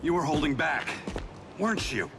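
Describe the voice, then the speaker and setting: A man's voice: on the high side, lively intonation, moderate pace, gravelly, heavy background noise. An actor playing a wry, reflective male speedster hero in a video game cutscene. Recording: game cutscene with loud score.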